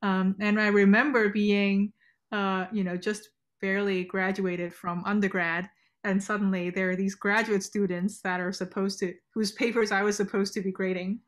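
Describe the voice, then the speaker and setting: A woman's voice: mid-range voice, flat delivery, normal speed. A woman academic from Hong Kong and Canada, talking informally on a panel video call from home. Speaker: music researcher and professor. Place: home room with bookshelves.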